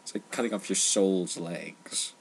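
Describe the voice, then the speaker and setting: A young man giving an earnest, exasperated, unscripted rant, speaking emphatically with halting pauses in a husky, gravelly voice. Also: low-pitched, steady tone, a little hiss or hum, low-quality recording. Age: teens to young adult. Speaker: online ranter.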